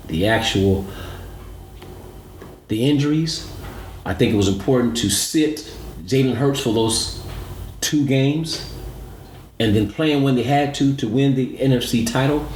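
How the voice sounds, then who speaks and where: A man's voice: medium pitch, darkish tone. A man giving emphatic, analytical sports commentary as a show co-host, repeating points for emphasis. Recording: roomy echo, audible background noise.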